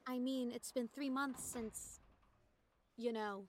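A woman acting in an audio drama as an excitable, concerned teenage girl athlete, chatty and earnest as she probes her friend. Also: on the high side, takes it slowly, a little hiss or hum. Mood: cautious, worried.

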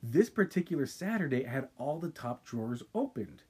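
A man co-hosting a casual podcast, reading a listener's ghost story aloud with dramatic pauses and stopping to react. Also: medium pitch, sing-song, a little mellow, slightly rough. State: sipping wine. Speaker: podcast co-host.

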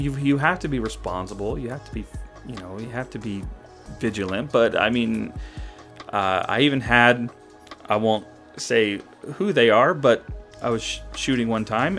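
A man who is a guest on a podcast, telling stories in a rambling, halting way. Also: medium pitch, a bit bright. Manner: rambling storyteller, halting restarts. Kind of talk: casual podcast chat.

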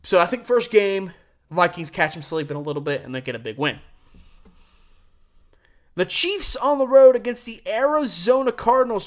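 A man's voice: high-pitched, a bit bright. A man hosting a live sports show, giving opinionated, conversational commentary on game picks and punching key words.

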